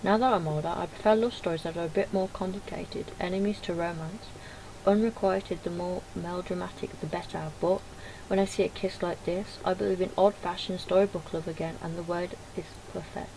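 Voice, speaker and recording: Lower-voiced woman reading over noticeable noise. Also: fairly even intonation, brisk, darkish tone. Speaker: gaming video creator. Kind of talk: reading game dialogue aloud with casual commentary. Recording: clearly noisy home recording.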